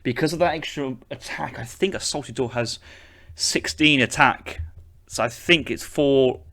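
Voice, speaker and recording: A man's voice: medium pitch, moderate pace, gravelly, pushed and bright. A young man casting a strategy game tournament, breaking down units and matchups as he thinks aloud. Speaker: esports caster.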